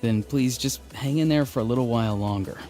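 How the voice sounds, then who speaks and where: A man playing a stoic, earnest young warrior in a video game, with a formal, deadpan and slightly hesitant manner. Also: mid-range voice, fairly quick, faint music behind, close to an everyday voice. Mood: awkward deadpan. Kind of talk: English game voice acting.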